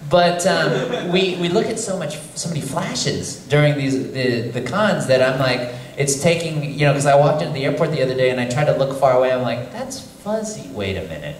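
A man's voice: mid-range voice, animated, brisk, few pauses, far from the mic, a little hiss or hum. Joking man in an echoey convention hall. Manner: joking, playful. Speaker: convention panelist. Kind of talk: casual panel talk.

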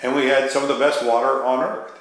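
A man's voice: mid-range voice, level tone, fairly bright tone. Male preacher in a very echoey room. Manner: earnest, reflective, halting with repeated phrases. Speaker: Baptist preacher. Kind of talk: extemporaneous verse-by-verse sermon. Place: live sermon relayed to a radio station. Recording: very echoey, reverberant room sound.

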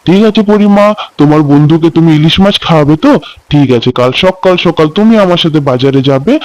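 A man's voice: on the high side, darkish tone, dry recording, close to an everyday voice, low-quality recording. A man playing a kindly, doting village father in a children's cartoon, chatty and affectionate. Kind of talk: Bengali children's cartoon story dialogue.

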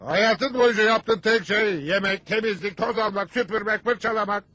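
A man's voice: high voice, moderate pace, pushed and bright, no echo. A man playing a grumpy, bossy middle-aged father in a dubbed cartoon, blustering and sarcastic with theatrical exaggeration. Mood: mocking, irritated.

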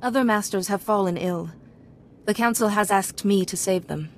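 An actress playing a composed, dutiful young female Jedi, calm and earnest, in a video game. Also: mid-range voice, moderate pace, slight background noise, natural voice.

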